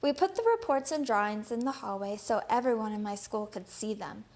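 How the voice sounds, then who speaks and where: A woman reading a children's picture book aloud in an expressive storytelling manner. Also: fairly high pitch, fairly quick.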